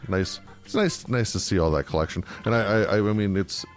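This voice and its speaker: A man chatting casually as a podcast host, in a hesitant, halting way. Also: lowish voice, soft background music. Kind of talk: casual fan podcast chat.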